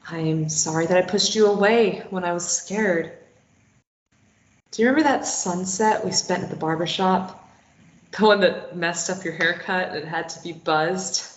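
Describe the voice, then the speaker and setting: A woman's voice: on the low side, lightly acted. A woman voicing a guilt-ridden, grieving former addict in a table read of a stage play, speaking in a bitter, subdued, self-blaming way. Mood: tender. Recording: dull echoey room sound.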